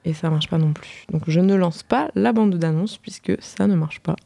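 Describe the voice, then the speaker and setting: Mellow-voiced woman hosting radio. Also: on the low side, normal speed, gravelly, no room sound. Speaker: radio show host. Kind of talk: casual culture show chat. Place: radio studio.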